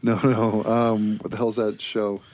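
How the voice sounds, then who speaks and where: A man in casual podcast chat, joking and animated. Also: lowish voice, measured pace. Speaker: podcast crew member.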